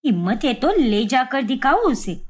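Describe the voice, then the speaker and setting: Woman doing a sharp, defensive young mother. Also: sits high, big pitch swings, measured pace. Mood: defiant. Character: short-tempered young mother.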